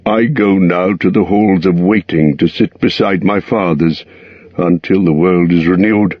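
A man portrays a wounded dwarf king near death in a storybook reading. He speaks slowly and solemnly, his husky voice softened by a dull, lo-fi recording. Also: low voice, steady tone, no echo. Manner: slow, solemn farewell tone. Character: dying male dwarf king, proud and remorseful.

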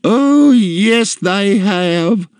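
A man voicing an imperious, demanding older woman in a story, with drawn-out, commanding words. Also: high-pitched, expressive, a little mellow, no room sound, studio quality, pitched above the performer's own voice, full character voice. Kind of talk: storybook character voice in narration.

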